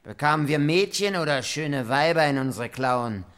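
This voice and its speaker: An actor playing a depraved robber captain in a radio play, confessing atrocities methodically and with relish in archaic language. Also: male voice, fairly high pitch, animated, normal speed, fairly bright tone, clear, resonant tone. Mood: matter-of-fact, boastful.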